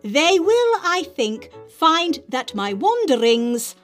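A woman with a fairly high voice and very animated intonation, hosting a podcast of readings. She speaks articulately, with theatrical emphasis, over some music. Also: slow. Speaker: podcast host reading aloud. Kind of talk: dramatic reading of an essay, then informal podcast chat.

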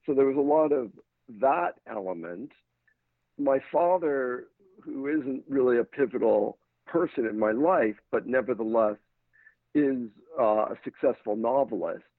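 A man's voice: medium pitch, measured pace, dry recording. A man with an American accent, a talent manager, giving thoughtful answers in a casual interview with frequent restarts. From England. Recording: dry, tinny phone-like line.

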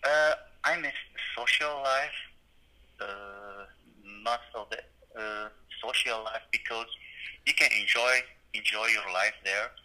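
Syrian man with a bright, low voice. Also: low-quality recording. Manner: halting, searching for words. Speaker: student guest. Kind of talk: casual interview. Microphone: phone call.

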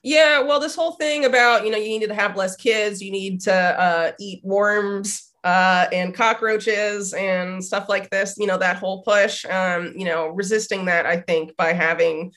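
A woman's voice: mid-range voice, fairly even intonation, unhurried, hardly any pauses, a bit bright. A woman in her thirties, slightly nasal from a cold, talking as a guest in a rambling way with lots of fillers. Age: 30s. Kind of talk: casual conversation.

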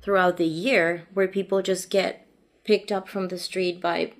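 Mexican lady podcasting, kinda low voice, spanish accent, chatty and laughy. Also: little pitch movement, a little slow. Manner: chatty, laughs often, explains at length. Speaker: podcast co-host. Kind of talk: casual chat.

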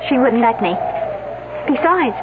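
An actress playing a sharp-tongued, suspicious young woman student with pointed retorts in a 1970s radio drama. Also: a little high, expressive, a little slow. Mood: bitter, accusing. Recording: noisy narrow-band vintage radio recording.

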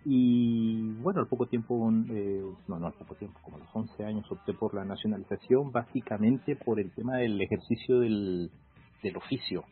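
Measured Peruvian man, phone-line sound. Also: on the low side, breathy edge, soft background music. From Peru. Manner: measured, reflective, many fillers. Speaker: law professor guest. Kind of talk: casual interview. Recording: phone-like call audio.

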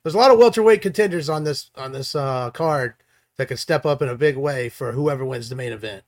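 A man's voice: mid-range voice, a little fast. A man co-hosting a casual sports chat, enthusiastic and hedging as he corrects himself. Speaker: co-host picking fights.

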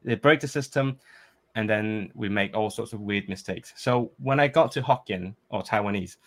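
Low-voiced hesitant man, Cantonese native. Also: normal speed. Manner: thoughtful, hesitant with frequent fillers and restarts. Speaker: podcast guest. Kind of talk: casual conversation.

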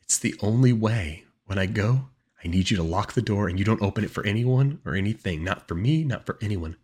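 A man's voice: low-pitched, steady tone, fast, a bit bright, breathy edge, exaggerated voice. Man as a firm, protective older sister. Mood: urgent, firm.

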